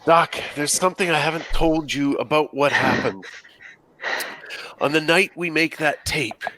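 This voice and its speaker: A man's voice: fairly high pitch, measured pace, sharp tone, no echo, poor audio. A man voicing an earnest, worried teenage boy in a film script table read.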